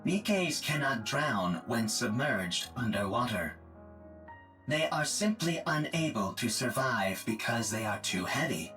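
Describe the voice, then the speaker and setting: An actor playing a calm, emotionless male combat support robot who speaks in flat, precise, formal phrases. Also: mid-range voice, unhurried, soft background music. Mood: measured.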